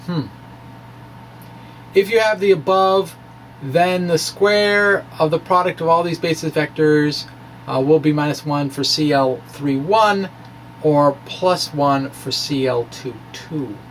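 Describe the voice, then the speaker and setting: A man giving an informal, self-deprecating technical ramble in a hesitant way, with animated asides. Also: on the high side, takes it slowly, slight background noise, poor audio. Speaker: physics blogger presenting his own work. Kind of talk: informal technical ramble, reading of own blog.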